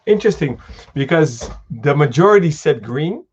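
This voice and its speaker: A man's voice: fairly high pitch, expressive, husky. A man hosting a live interview, asking questions eagerly and stammering a little as he starts his sentences. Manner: quick, enthusiastic, stammers on starts. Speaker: host, interviewer.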